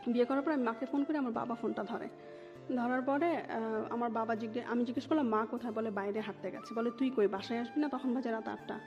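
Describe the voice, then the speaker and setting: A woman with a fairly high voice and a Bangladeshi accent recounts personal experiences in a conversational way. She drops English words into her sentences. The sound is a little dull, with long reverb and music behind her. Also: steady tone, fast. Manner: mixes English words into Bengali. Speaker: interview guest telling her life story.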